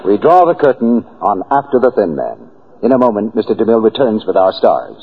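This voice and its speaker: A man's voice: on the low side, very animated, fairly quick, a little hiss or hum. A man announcing and reading advertising copy in scripted 1940s radio style. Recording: dull old radio broadcast recording.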